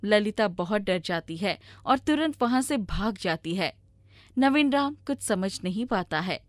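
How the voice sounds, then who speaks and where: A woman narrates a moral tale in a scripted storybook style. The voice is mid-pitched and the recording is dry, with hardly any room sound. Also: expressive, measured pace, no echo.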